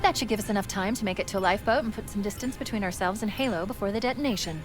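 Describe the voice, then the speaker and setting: An actress voicing a sharp-witted, dry female ship AI in a video game cutscene, brisk and wry. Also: medium pitch, over loud music, close to an everyday voice. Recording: voice over loud game score.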